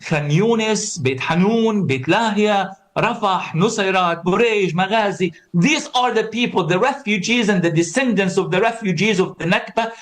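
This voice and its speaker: Panel speaker: man from Palestine, fairly high voice, impassioned, repeats key words to drive them home. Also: normal speed, hardly any pauses. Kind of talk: conference speech.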